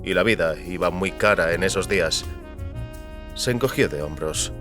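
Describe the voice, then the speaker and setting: A man with a fairly low voice narrates a work of fiction. The delivery is scripted storytelling, with soft music playing underneath. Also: expressive, normal speed.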